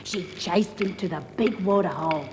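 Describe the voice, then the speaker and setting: Radio play storyteller, played by an actress: grave, low-voiced woman telling dark legends, long hall reverb, noisy recording. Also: moderate pace, natural voice. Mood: grave, ominous. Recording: reverberant hall, loud background noise.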